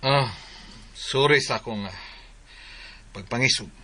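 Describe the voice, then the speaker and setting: Casting: blunt, proud dad, Cebuano accent, sharp forceful tone, radio drama. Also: male voice, medium pitch, unhurried, slight background noise. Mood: softened, sheepish. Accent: Filipino, Cebuano. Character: indignant, forceful widowed father confronting another parent. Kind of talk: Filipino radio drama acting.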